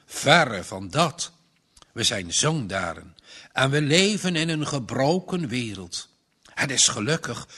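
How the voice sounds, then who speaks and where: A man reading aloud, voicing a patient, earnest farm woman who speaks gently but firmly. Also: fairly high pitch, slightly rough, full character voice. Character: calm pious middle-aged farm woman.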